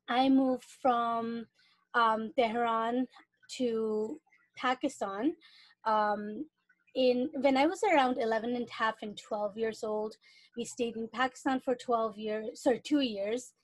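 A woman's voice: on the high side, no room sound, rough recording. A young adult woman from Iran, a guest on a podcast, talking casually and thoughtfully with frequent fillers. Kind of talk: casual interview talk.

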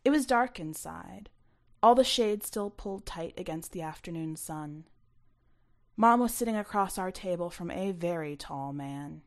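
A woman narrates first-person fiction from a script, as an author reading her own work. Her voice sits a little low.

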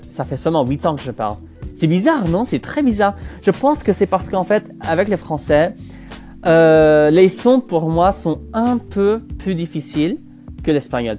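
A man's voice: a little high, a little mellow. A Canadian man in his twenties with a France-style French accent, hosting a casual solo podcast in a rambling, hesitant way that keeps restarting phrases. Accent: Parisian-style French learned in France. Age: 20s. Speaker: podcast host.

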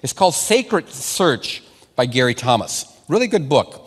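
Spanish-native male preacher, mid-range voice, animated storytelling, long church-hall reverb. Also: mid-range voice, measured pace, breathy edge. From Mexico. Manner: animated storytelling with repeated words and restarts. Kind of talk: sermon to a congregation.

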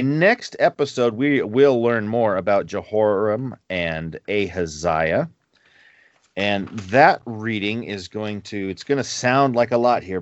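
A man in his forties talks in a low register, wandering through casual asides and chuckling as he goes. The sound is dry and close, with a slightly muffled, lo-fi quality to the recording. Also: no room sound. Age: 40s. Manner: rambling, chuckles between asides. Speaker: podcast co-host. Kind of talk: casual chat.

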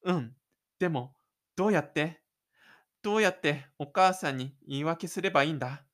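A narrator voicing a stubborn, lonely high school boy musician in excited, rambling self-talk for an audio drama. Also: normal speed, lightly acted. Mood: anxious. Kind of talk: audio drama inner monologue.

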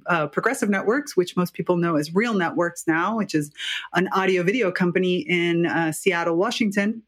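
A middle-aged American woman, a guest in an interview, telling her life story in an animated, chatty way with frequent fillers. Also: medium pitch. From United States.

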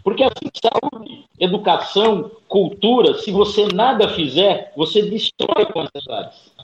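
A man with a Brazilian accent speaking as a panelist in a political discussion, haltingly, often repeating his words. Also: sits high, lively intonation. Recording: dull, noisy, echoey room with clicks.

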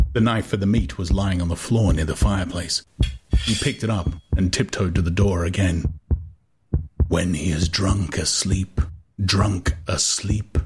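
A man narrating a literary audiobook in a dramatic, intense way, with deliberate phrasing. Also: mid-range voice, slightly rough.

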